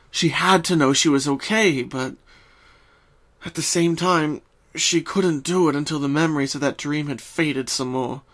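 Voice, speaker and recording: A man reading a scripted story as narrator, in a solemn, deliberate and dramatic way. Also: mid-range voice, fairly bright tone.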